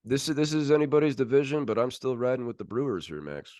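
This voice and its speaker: A man's voice: medium pitch, quick pace, a little mellow, no echo, studio quality. A man hosting a sports podcast, giving casual commentary as he runs through standings.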